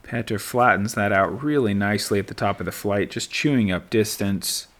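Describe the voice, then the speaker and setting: A middle-aged man giving relaxed play-by-play commentary as a voice-over, easygoing and dry, thinking aloud as he goes. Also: lowish voice, moderate pace. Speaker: sports commentator. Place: voice-over, not at the course.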